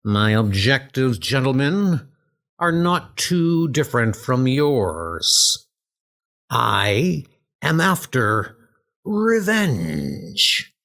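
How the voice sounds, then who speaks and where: Guy doing an evil scheming god villain, slow n grandiose, really theatrical swoops, comic dub style. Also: mid-range voice, very animated, vocal fry, a bit bright, heavily acted. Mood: cold vengeful. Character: scheming, menacing male trickster god of evil, grandiose and vengeful.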